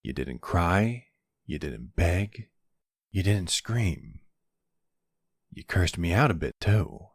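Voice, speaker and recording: Man playing a gravelly, very low-voiced mafia boss. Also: sing-song, dry recording, clean studio sound, heavily acted. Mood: calm, admiring.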